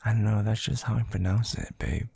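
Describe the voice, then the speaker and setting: A man playing an affectionate, teasing young boyfriend in an intimate ASMR roleplay, murmuring softly and hesitantly with a breathy, gravelly voice. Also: lowish voice, flat delivery, brisk, no room sound, exaggerated voice. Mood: flustered, playful. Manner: soft, hesitant, drawling, teasing.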